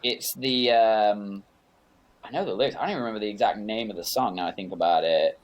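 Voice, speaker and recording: A young British-raised man with a deep, low voice talks casually as a podcast guest. He rambles along loosely, padding his sentences with fillers and correcting himself as he goes. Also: fairly quick, noisy background. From United Kingdom. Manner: rambling, laid-back, lots of fillers and self-corrections. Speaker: guest, music producer. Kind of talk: casual interview chat.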